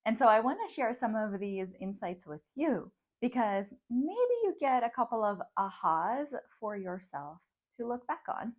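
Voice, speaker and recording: A woman's voice: on the high side, sing-song, measured pace, few pauses. Reflective woman sharing personal insights. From grew up in the United States from age ten, born abroad. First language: Russian. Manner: reflective, laughs often between thoughts. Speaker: psychologist and coach. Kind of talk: personal talk to camera.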